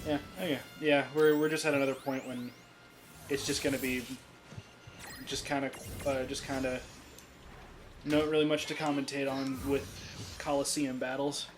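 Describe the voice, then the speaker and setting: Male let's-play commentator: casual and halting, average pitch, game music underneath. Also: flat delivery. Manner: rambling, full of restarts and fillers.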